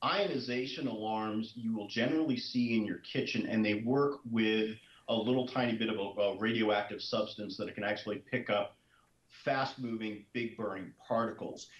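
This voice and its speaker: A man who reports on real estate, answering fast and emphatically and repeating his points. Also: fairly low pitch, echoey room, rough recording. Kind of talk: interview answers.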